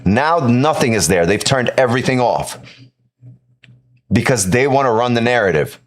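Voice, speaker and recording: A man's voice: mid-range voice, animated, normal speed. A man from Ukraine hosting a live stream, talking casually and emphatically while answering chat, often repeating himself and adding "right?". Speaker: live-stream trading host.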